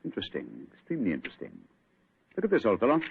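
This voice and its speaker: An actor playing a brisk, commanding male detective who speaks crisply, in an old radio play with a tinny sound. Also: fairly low pitch, wildly swooping pitch, a little hiss or hum, exaggerated voice. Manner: crisp, authoritative. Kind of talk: 1940s radio acting.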